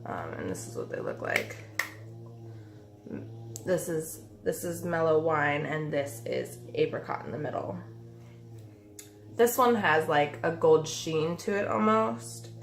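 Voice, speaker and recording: A woman's voice: low voice, very animated, takes it slowly, gravelly, pauses often. A woman in her thirties with a nasal, stuffy voice from a cold, chatting through a makeup tutorial at home with many ums and uhs. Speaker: beauty vlogger. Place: bedroom by a window, indoors. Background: faint music and weed eater noise.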